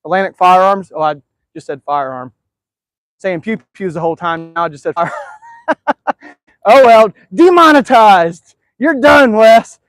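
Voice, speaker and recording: Casual male live-stream host: high-pitched, dry close sound, loose rambling chatter full of ums. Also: lively intonation, measured pace, long pauses, dry recording. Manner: rambling, filler-heavy, easygoing. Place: outdoors in a backyard.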